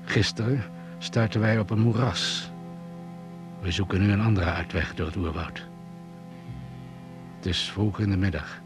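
Guy playing composed doctor in a radio play, lowish voice, formal and precise, music underneath. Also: steady tone, measured pace, pauses often, close to an everyday voice. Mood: measured, concerned. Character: composed male doctor leading jungle crash survivors. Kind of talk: radio drama acting, report-like account.

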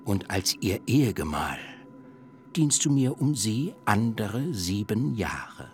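Ein Schauspieler spielt in einem Hörspiel einen gerissenen, strengen alten Vater, der hart und belehrend verhandelt. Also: male voice, fairly low pitch, sing-song, darkish tone, soft background music. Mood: stern bargaining. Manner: measured, lecturing, haggling. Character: shrewd stern old father bargaining hard. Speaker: actor in a radio play. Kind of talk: literary radio drama acting.

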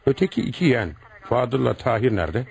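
An actor playing a stern, commanding police commissioner in a radio play, questioning suspects brusquely and with authority. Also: male voice, medium pitch, animated, a little fast, gravelly, darkish tone. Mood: commanding, brisk. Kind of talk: radio theatre acting.

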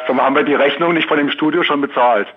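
A man's voice: fairly high pitch, quick pace. A man speaking casually on a telephone call. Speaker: band member answering the phone. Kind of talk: casual phone chat. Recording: thin phone line with music.

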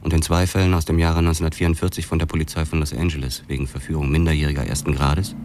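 A man's voice: a bass voice, flat delivery, racing, darkish tone. An actor playing a world-weary police detective who narrates his story in a hardboiled crime radio drama. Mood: dry, sardonic.

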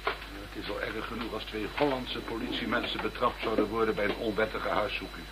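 An actor playing a brisk, gruff police detective in a radio play, speaking in a clipped, easily exasperated way. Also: male voice, on the low side, fairly even intonation, lightly acted. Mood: matter-of-fact. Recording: noisy, humming radio play recording.

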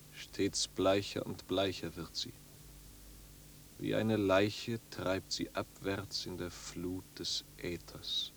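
An actor playing a restless, tormented young wanderer in a 1950s German radio drama, theatrical and brooding, between dreamy and despairing. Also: male voice, on the low side, a little hiss or hum. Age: young adult. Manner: theatrical, brooding, swings from hushed murmur to loud outcry.

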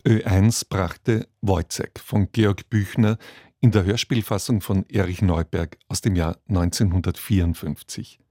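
Male radio announcer, low warm voice, dry studio sound, scripted delivery. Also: fairly even intonation, measured pace, dry recording.

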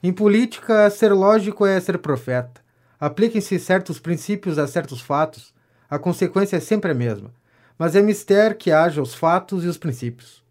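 Man acting a pompous, high-pitched Brazilian political know-it-all. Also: normal speed. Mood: self-satisfied, fawning. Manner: pompous, declamatory, flattering. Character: vain, self-important gentleman pamphleteer, pompous political know-it-all. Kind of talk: audio drama of a 19th-century stage comedy.

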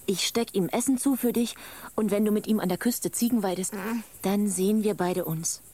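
A woman's voice: mid-range voice, normal speed, dark tone, lightly acted. A woman playing a tender, worried fisherman's wife in a radio play, motherly and pleading. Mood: tender, troubled. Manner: pleading, motherly, breathless urgency when alarmed. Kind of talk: German radio drama acting.

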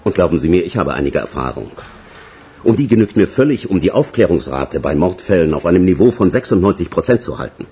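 A man's voice: low-pitched, big pitch swings, fast, warm tone, husky, exaggerated voice. An actor playing a condescending veteran police investigator in a German radio crime drama, formal and pedantic as he interrogates. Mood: pompous rebuke.

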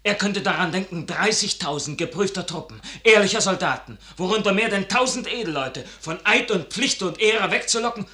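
Trusting young soldier son, radio play, very bright tense voice, highly animated pitch, theatrical. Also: male voice, moderate pace, few pauses. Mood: indignant. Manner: theatrical, passionate declamation. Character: idealistic young officer son, loyal, impassioned, trusting. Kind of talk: classical German radio verse drama acting.

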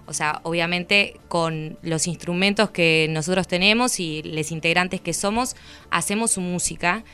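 Bright-voiced Argentine woman chatting in an interview. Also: on the low side, a little slow, clean tone, faint music behind. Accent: Rioplatense Argentine. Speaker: musician, interview guest. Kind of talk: casual interview chat.

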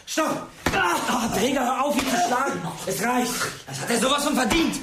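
A high-pitched teenage boy, agitated and sharply sarcastic. He confronts and scorns in naturalistic radio drama dialogue, over clearly noisy surroundings. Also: lively intonation, moderate pace. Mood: alarmed, frantic. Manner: confrontational, scornful, foul-mouthed. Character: agitated, sarcastic teenage male school shooting witness.

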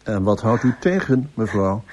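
An actor playing a brisk, dryly courteous police inspector who speaks formally and in a measured way in a radio play. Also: male voice, fairly low pitch, sing-song, darkish tone. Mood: probing. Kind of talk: radio drama acting.

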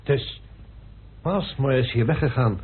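An actor playing a cautious, uneasy man with halting speech in a radio play. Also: medium pitch, husky. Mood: hesitant, uneasy. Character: hesitant, cautious man asking uneasy questions. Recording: muffled, narrow old radio recording.

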